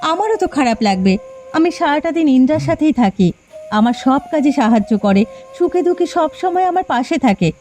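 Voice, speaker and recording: A woman voicing a caring, determined housewife in a cartoon, with a gentle, coaxing manner. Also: sits high, big pitch swings, a little fast. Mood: worried, determined. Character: caring, determined housewife comforting her husband. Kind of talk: cartoon voice acting. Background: faint music.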